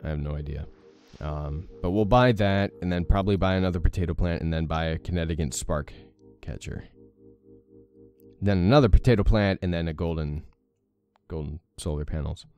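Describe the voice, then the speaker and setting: A young man with a deep morning voice hosting a let's play, chatting casually with lots of fillers. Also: moderate pace, darkish tone, faint music behind. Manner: rambling, many fillers, self-deprecating. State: morning voice with a crack. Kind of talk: casual gameplay commentary.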